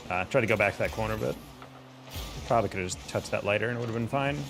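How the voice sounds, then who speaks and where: A man's voice: on the low side, racing, over music. A man chatting casually with his viewers while playing a game on a live stream, exasperated and laughing often. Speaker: streamer and caster.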